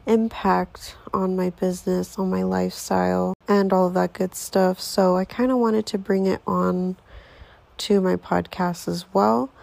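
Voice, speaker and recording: Woman, 20s, podcast host; unhurried rambling chat with a fry-heavy voice. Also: mid-range voice, darkish tone, no echo. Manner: chatty, rambling, lots of fillers. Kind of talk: casual solo podcast intro.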